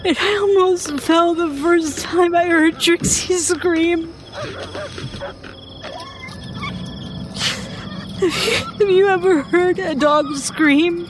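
A woman playing a terrified young female survivor in an audio horror drama, recounting events in a halting, haunted way with stammered repetitions. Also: very high voice, steady tone, noisy background. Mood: grief-stricken, tearful. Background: music.

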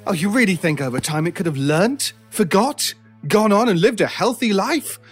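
A sinister male stranger for an old-time style horror radio drama. He is scornful and cutting, with a theatrical, deliberate delivery that taunts and persuades coldly. Music plays behind him. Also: high voice, lively intonation, slightly rough. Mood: scornful, exasperated.

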